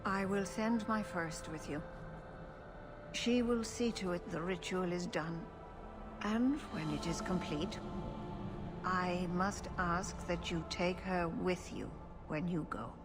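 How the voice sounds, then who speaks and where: An actress playing a wise, solemn elven clan keeper in a fantasy video game, speaking in a measured, formal way. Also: female voice, lowish voice, over music. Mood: grave, measured. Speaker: clan leader and spiritual guide.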